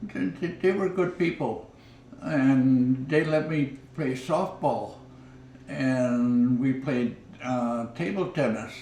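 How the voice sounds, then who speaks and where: Elderly man in his nineties, seated home interview; unhurried, stumbling storyteller, faint room ambience. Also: mid-range voice, darkish tone, reverberant, distant mic, slight background noise. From Minnesota, USA. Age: 90s. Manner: halting, repeats words, chuckles. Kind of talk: oral history reminiscing.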